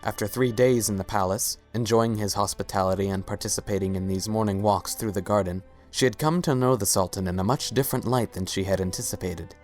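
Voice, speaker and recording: A man narrates a scripted story as a dramatic reading. His voice sits low, with faint music running behind it. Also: fairly even intonation, a little fast, few pauses, darkish tone.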